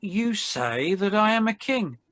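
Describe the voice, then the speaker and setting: A calm, solemn Jesus facing his accusers in a dramatized Bible reading, voiced by a woman. The delivery is slow and deliberate, with a widely moving pitch and a dry, roomless sound. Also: no room sound. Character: Jesus, calm, solemn man under arrest and questioning.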